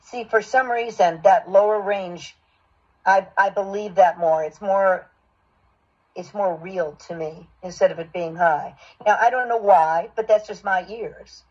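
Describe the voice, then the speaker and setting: A dull, lo-fi recording of a woman with a husky voice at a middle pitch. She is a voice acting coach, giving feedback and direction in an encouraging, explanatory manner. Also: a little slow. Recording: dull, lo-fi sound.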